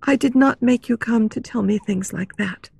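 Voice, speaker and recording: A woman's voice: on the high side, a little slow, vocal fry, darkish tone, no echo, voice pushed up. A woman playing a shy, emotional young woman who speaks guardedly in an audiobook story. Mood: flustered, defensive.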